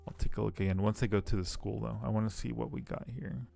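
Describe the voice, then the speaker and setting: Male game streamer, fairly deep with vocal fry, chatting casually and muttering through each move. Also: fairly even intonation, fast talker, warm tone, soft background music. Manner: thinks aloud while playing. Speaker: streamer playing a point-and-click game. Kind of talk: casual livestream chat with read-aloud game text.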